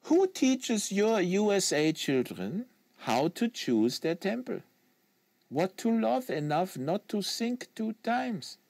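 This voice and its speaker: A man's voice: sits high, very animated, slow pace, gravelly, darkish tone, no echo, voice pushed up, full character voice. A man voicing an earnest, lecturing assassin for an audiobook, with solemn rhetorical delivery and foreign phrasing. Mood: probing, solemn. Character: earnest, lecturing French-Canadian male assassin in a wheelchair.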